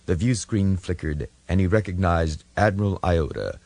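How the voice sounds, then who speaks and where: Deep-voiced, husky male audiobook narrator. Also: moderate pace, darkish tone, no room sound. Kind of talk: dramatic scripted narration.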